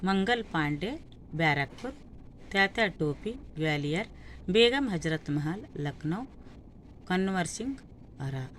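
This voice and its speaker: A woman's voice: on the low side, animated, measured pace, pauses often, a bit bright. North Karnataka woman teaching, noisy recording. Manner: instructional, listing answers item by item. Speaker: exam-prep teacher. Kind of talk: reading out quiz questions and answers.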